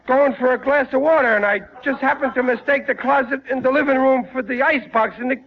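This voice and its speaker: An actor playing a bumbling, excitable American working-class father in 1940s radio comedy, stammering and repeating his words. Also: very high-pitched, a little fast. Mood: embarrassed, defensive. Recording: muffled, noisy vintage radio broadcast.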